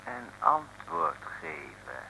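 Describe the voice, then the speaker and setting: Slow, piercing, officious interrogator. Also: male voice, very deep voice, big pitch swings, vocal fry, bright, forceful tone, husky, full character voice. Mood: domineering, menacing. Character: domineering male communist interrogator, officious, grovels to superiors. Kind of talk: 1950s Dutch comic radio serial acting. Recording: faintly noisy old radio recording.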